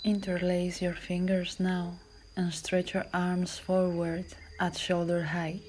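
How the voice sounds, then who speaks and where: A woman yoga instructor guiding a class in a calm, measured, soothing voice that is soft and at times breathy. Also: lowish voice, slight background noise. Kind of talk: guided yoga and relaxation instruction.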